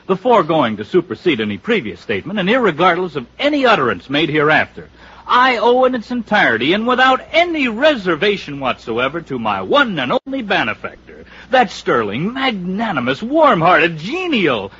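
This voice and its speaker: Super raspy american comic yes-man, grandiose, swoops all over, old radio play. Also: high-pitched, huge pitch swings, normal speed, bright, forceful tone, full character voice. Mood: mock-devoted. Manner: grandiose mock-oratory. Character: fawning, wisecracking comic flunky. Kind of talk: 1950s radio comedy-drama acting. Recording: dull vintage radio recording.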